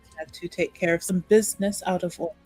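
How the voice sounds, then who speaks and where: A woman's voice: lowish voice, steady tone, fast, warm tone, slightly rough, no echo, soft background music, rough recording. A woman voicing a polite, devout house attendant in a tabletop game, speaking formally and courteously. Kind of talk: improvised tabletop roleplay.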